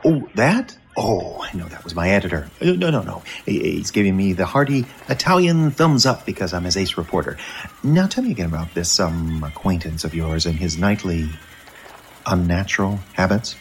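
A slick, rambling newspaper reporter, played by a man, full of stammers and quick dodges, his low voice jumping around in pitch. He sounds like a character from an old-time radio play, with faint noise in the background. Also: expressive. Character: brash fast-talking male newspaper reporter, evasive.

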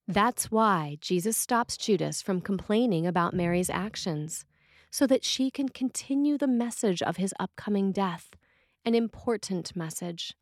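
A woman's voice: mid-range voice, unhurried, no echo. Woman reading a sermon, very dry sound. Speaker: sermon reader. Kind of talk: sermon read from a script.

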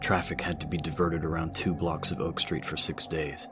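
A man narrating an audio story, reading out newspaper reports in a measured, scripted news style. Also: very deep voice, flat delivery. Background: music.

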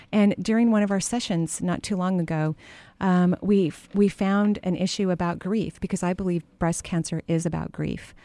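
A woman's voice: fairly low pitch, mellow, no room sound. A middle-aged woman hosting a call-in radio show, giving caller advice in a chatty, laughing, storytelling way. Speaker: radio show host and energy healer.

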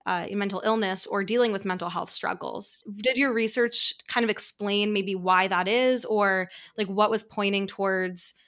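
Woman, from New York, interviewer, bright tone, friendly, thoughtful questions. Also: medium pitch, fairly even intonation, moderate pace, few pauses. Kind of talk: conversational interview.

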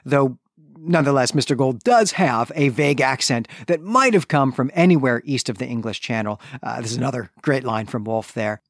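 A man's voice: mid-range voice, dry recording. A man speaks as a podcast co-host in a mid-range voice, recorded dry and close. He recaps and comments on a book methodically, signposting his points and wandering into wordy asides.

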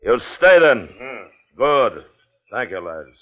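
A man's voice: mid-range voice, sing-song, takes it slowly. Through a narrow, phone-like old radio recording comes a commanding plantation owner. He is defiant and grimly stubborn, with clipped, authoritative delivery in classic 1950s radio drama style. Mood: grimly resolute.

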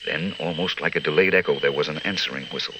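A man delivering scripted narration as a vintage radio announcer, measured, dramatic and declamatory. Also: rumbling low, background noise. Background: music.

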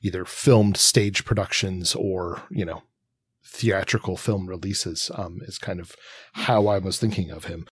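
A man's voice: deep voice, steady tone, a little slow, breathy edge, no room sound. A man co-hosting a podcast, discussing comics analytically in a wordy, think-aloud way. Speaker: podcast co-host.

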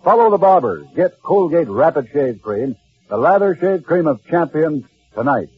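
A man's voice: on the high side, very animated, normal speed, breathy edge, dry recording. An American man reading a 1940s radio advertisement as an announcer, with a punchy, emphatic sales delivery. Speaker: commercial announcer. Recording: tinny old radio broadcast, faint music.

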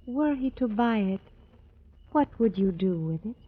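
A woman playing a gentle, devoted young woman in an old-time radio drama, speaking in stylized archaic phrases. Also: mid-range voice, lively intonation, a little slow, husky. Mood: tender, wistful. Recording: dull old radio recording.

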